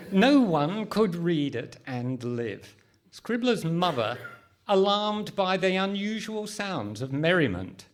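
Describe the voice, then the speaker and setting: Man acting as an exasperated, slow-spoken comedian. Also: mid-range voice. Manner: deliberate, emphatic. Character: exasperated male comedian recording a sketch, pompous narrator. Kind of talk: live radio comedy play. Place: hall stage in front of a live audience.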